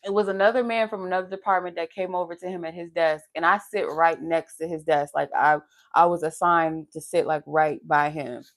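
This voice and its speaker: Young adult woman, low pleasant voice, frank and profane, casual storyteller, close mic. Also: steady tone, brisk. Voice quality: soothing, pleasant voice. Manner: laughs while talking. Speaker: live tarot reader. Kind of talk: casual live-stream chat.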